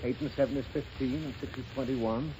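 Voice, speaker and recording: A man's voice: mid-range voice, fairly even intonation, fairly quick, noisy background, close to an everyday voice. An actor playing an impatient, harried father who scolds his child, in 1930s radio comedy acting. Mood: exasperated. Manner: exasperated, scolding. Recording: muffled 1930s radio recording.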